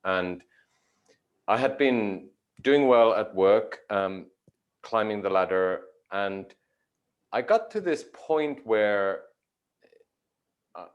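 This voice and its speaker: A man from Finland, a yoga teacher giving measured, thoughtful interview answers with frequent pauses. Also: on the low side, expressive, dull audio. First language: Finnish.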